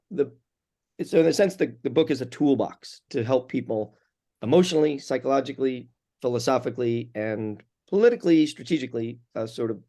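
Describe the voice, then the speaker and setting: Halting man explaining his book. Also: mid-range voice, dark tone. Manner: halting, many restarts and fillers. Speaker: author and climate activist guest. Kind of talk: unscripted interview answer.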